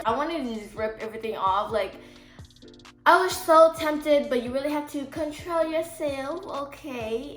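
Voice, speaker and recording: Chatty woman with a slight braces lisp. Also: fairly high pitch. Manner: chatty, rambling, heavy use of "like". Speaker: vlogger. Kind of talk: casual advice vlog. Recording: echoey room with faint music.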